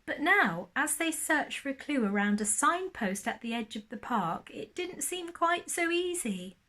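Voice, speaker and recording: A woman narrating a children's storybook like a primary school teacher, expressive and lingering on key words. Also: sits high, measured pace.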